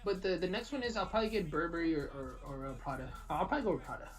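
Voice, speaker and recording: A man co-hosting a podcast, talking fast in slangy banter and often repeating or restarting his words. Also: a little high, lively intonation, faint music behind. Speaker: podcast co-host. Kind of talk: casual banter.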